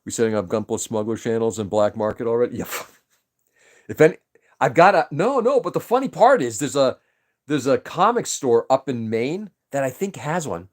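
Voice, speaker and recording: A man's voice: mid-range voice, animated, normal speed. A man whose first language was Greek, chatting casually on a livestream, rambling and greeting viewers by name. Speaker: livestream host.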